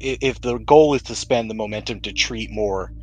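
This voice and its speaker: A man acting as game master, narrating and explaining rules in a measured, explanatory way. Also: lowish voice, a little mellow.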